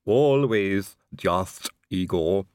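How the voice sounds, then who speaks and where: A man voicing a calm, stitched-together male manservant who speaks slowly and oddly politely. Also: low voice, smooth, no room sound, clean studio sound, heavily acted. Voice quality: slow, unhurried, oddly polite. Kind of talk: audiobook character voice.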